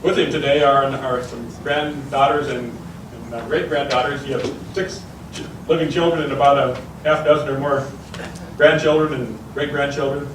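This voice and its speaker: A man's voice: mid-range voice, fairly even intonation, normal speed, far from the mic. A middle-aged man at a podium in a large meeting room giving a formal introduction with small stumbles. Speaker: host introducing speaker. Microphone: podium mic. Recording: echoey room, mic from afar, faint hiss.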